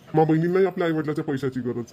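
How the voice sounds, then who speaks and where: A harried young cartoon husband, acted by a man, rattles on quickly in a rather high male voice. His Vidarbha Marathi speech is scolding and exasperated. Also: mellow, dull audio, lightly acted. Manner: nagging, complaining. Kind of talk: amateur cartoon voice acting.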